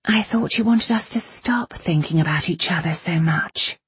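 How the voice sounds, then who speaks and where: Woman putting on an elegant haughty lady, cold, disdainful, clipped, very breathy, raised pitch, audiobook novel. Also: lively intonation, unhurried, no echo, exaggerated voice. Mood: cold, cutting.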